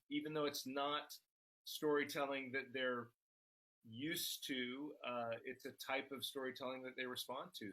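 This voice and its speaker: Bright-voiced middle-aged man being interviewed. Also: mid-range voice, low-quality recording. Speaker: podcast creator, interview guest. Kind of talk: conversational interview.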